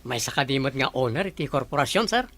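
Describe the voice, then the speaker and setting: A performer acting a teasing, nosy office co-worker with a creaky voice in an Ilocano audio drama. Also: medium pitch, sing-song, quick pace, a bit bright.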